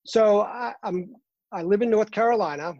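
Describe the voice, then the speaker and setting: A man in his fifties with a Boston accent, a guest in a casual interview, talking earnestly with many pauses and fillers. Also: high voice, a little mellow, no room sound, dull audio. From Boston, Massachusetts. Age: 50s. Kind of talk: casual interview over a call.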